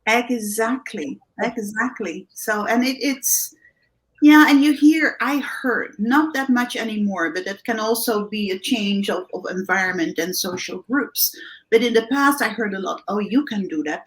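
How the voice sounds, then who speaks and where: A woman from the Netherlands with a Dutch accent, a guest coach giving animated interview answers with many restarts and tag questions. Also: medium pitch, moderate pace, low-quality recording. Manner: animated, thinks aloud with many restarts and "right?".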